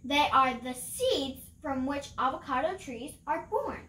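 A woman's voice: high-pitched, expressive, a little slow, bright, forceful tone, slight background noise. A girl of about ten carefully reading a children's picture book aloud. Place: small room at home, indoors. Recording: roomy home recording.

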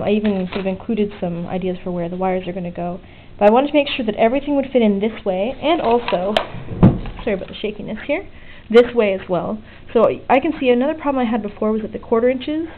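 A woman narrating an informal hands-on DIY tutorial at a desk, explaining things in a conversational way into a handheld camera's microphone. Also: mid-range voice. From outside the US. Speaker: DIY electronics video maker. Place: indoors at a desk. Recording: muffled phone-like lo-fi audio, noticeable noise.

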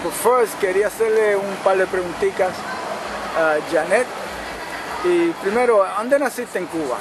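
A man's voice: high voice, measured pace. A man hosting a casual interview outdoors, mixing English and Spanish with short affirmations. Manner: switches between English and Spanish, brief affirmations. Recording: street noise behind.

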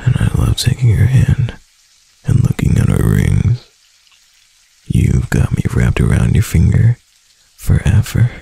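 A young man voicing a doting, clingy husband in a soft, intimate ASMR roleplay. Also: deep voice, wildly swooping pitch, measured pace, vocal fry, husky, exaggerated voice, poor audio. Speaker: voice actor.

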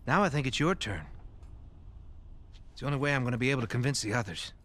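An actor playing a calm, menacing middle-aged leader of survivors in a video game, measured and softly persuasive. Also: male voice, mid-range voice, lightly acted. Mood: menacingly calm. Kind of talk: cinematic video game acting.

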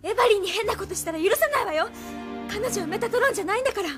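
A woman's voice: extremely high pitch, expressive, rapid-fire, loud music behind the voice. A woman playing a loyal, passionate young activist held captive in an audio drama, defiant and emotional. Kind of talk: anime-style audio drama acting.

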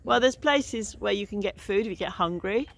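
A woman from Hertfordshire runs a casual street interview from behind the camera, outdoors on a residential road. She keeps the small talk going with easy questions and frequent laughs. Her voice sits at a middling pitch. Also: animated, normal speed, vocal fry. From Hertfordshire, England.

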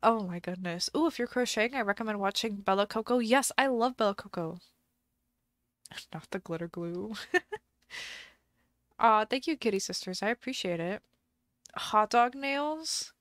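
A woman's voice: mid-range voice, lively intonation, moderate pace, pauses often, fairly bright tone, dry recording. A woman live streaming a game in casual chat, chatty and giggly, her voice slightly stuffy from a cold. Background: faint background music.

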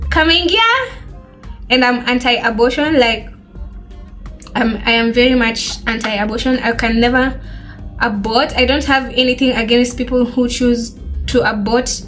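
Bubbly woman in her twenties. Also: fairly high pitch. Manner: bubbly, animated, laughs often. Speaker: beauty vlogger. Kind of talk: chatty Q&A while doing makeup. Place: small bedroom, indoors.

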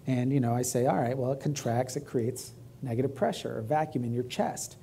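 A gravelly, husky-voiced man with a drawl, middle-aged, giving a urology talk from a lecture-hall stage. He keeps it conversational and often checks in with "right?". His tone sits dark and mellow. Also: medium pitch, fairly quick. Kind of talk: medical grand rounds lecture.

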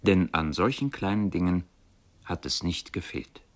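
A reflective man from a poor village in a radio play. He speaks in a lowish voice. His telling is measured, literary and contemplative. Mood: contemplative, wistful.

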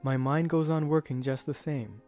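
A man's voice: medium pitch, normal speed, darkish tone, faint music behind, close to an everyday voice. A man playing a breezy, eccentric, nonchalant character in a storybook audio drama. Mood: musing.

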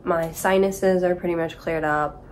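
Slow-talking congested young woman. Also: fairly low pitch, fairly even intonation, slight background noise. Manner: chatty, rambling. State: congested from a cold. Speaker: vlogger. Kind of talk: casual chat to camera. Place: small apartment room with kitchen, indoors.

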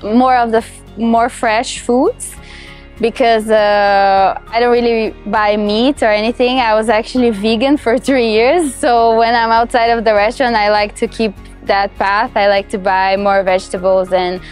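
Young brazilian woman, portuguese accent, kinda high voice, giggly and chatty, outdoors on a lapel mic. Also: fairly even intonation, soft background music. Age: young adult. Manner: cheerful, laughs often, halting restarts. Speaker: culinary student. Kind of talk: interview answers. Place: outdoors on a city terrace.

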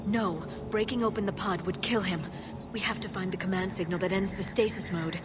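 A woman voicing a calm alien scientist. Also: mid-range voice, brisk, noisy background, lightly acted. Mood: earnest. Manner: calm, explanatory. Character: earnest young female alien scientist. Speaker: archaeologist companion. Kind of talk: video game cutscene dialogue. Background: game music.

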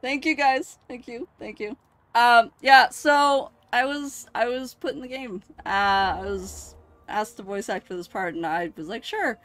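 Bright, tearful woman streamer. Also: fairly high pitch, no room sound. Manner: halting, grateful. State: choked up, tearful. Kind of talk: emotional livestream reaction.

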